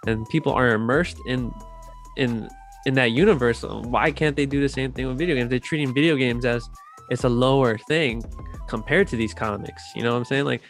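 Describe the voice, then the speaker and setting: A man in casual chat, speaking in a halting, slangy, opinionated way. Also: mid-range voice. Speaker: podcast co-host. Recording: music under the voice.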